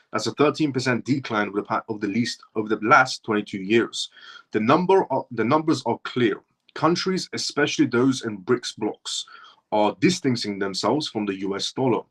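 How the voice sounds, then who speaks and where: A man's voice: medium pitch, fairly bright tone, breathy edge. A man from Iran hosting a livestream, giving an impassioned opinion monologue that hammers points through repetition and restarts mid-phrase. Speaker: livestream host.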